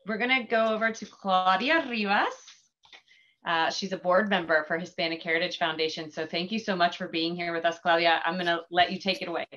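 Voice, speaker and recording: A woman moderating a webinar, thanking one speaker and briskly handing off to the next. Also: lowish voice, steady tone, a bit bright. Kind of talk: hosting a webinar. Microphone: video call. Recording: dull video call audio.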